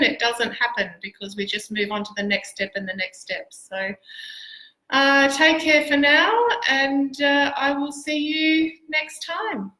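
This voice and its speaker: A woman's voice: medium pitch, sharp tone. A middle-aged Australian woman, a piano teacher, chatting casually to livestream viewers in a hesitant, stop-start way. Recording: roomy, echoey livestream sound.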